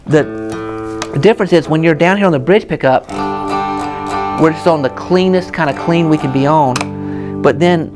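A man's voice: on the high side, moderate pace, pauses often. A man in his thirties with a Southern US accent, casually telling stories and explaining a guitar in a shop. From South Carolina, USA. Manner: chatty storytelling, explaining gear hands-on. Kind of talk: casual gear demo chat. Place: guitar shop room with hanging guitars, indoors.

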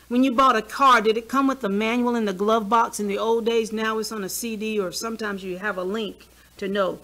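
A woman's voice: medium pitch, gravelly. A woman of sixty giving a health talk in a small church hall, chatting with the audience and asking them questions as she speaks from a podium mic. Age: 60s. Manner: lively, conversational, asks the audience questions.

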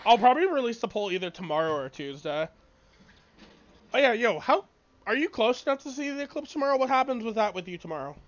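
A man in his late twenties streaming a game and chatting casually, excitable and swearing freely while talking over his friend. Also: very high voice, fast talker, lo-fi sound. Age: 20s. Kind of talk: casual chat while gaming. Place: small bedroom with colorful wall.

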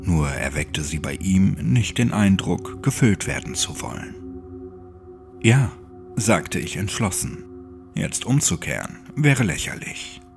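A man's voice: deep voice, very animated, unhurried, vocal fry. A man narrating a scripted horror audiobook in a brooding, dramatic manner. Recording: music under the voice.

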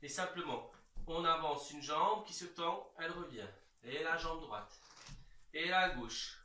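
A man in his thirties giving calm, reassuring step-by-step instructions as an exercise coach, recorded in a large bare room from several metres away. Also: fairly high pitch, a little slow. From Belgium. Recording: echoey room, distant microphone.

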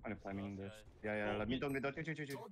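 A man's voice: on the low side, fast, a crowd in the background. A man chatting casually with teammates over voice chat during a video game. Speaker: gamer on voice chat. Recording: very noisy background game voice chat. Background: video game sounds.

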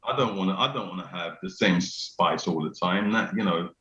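A middle-aged man with a very low, deep bass voice talks casually and eagerly, often repeating his phrases. The sound comes through a video call and is roomy and slightly dull. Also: moderate pace, fairly bright tone. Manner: repeats himself, laughs often, enthusiastic. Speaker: venue owner, guest. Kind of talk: casual chat. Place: small room indoors. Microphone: video call webcam.

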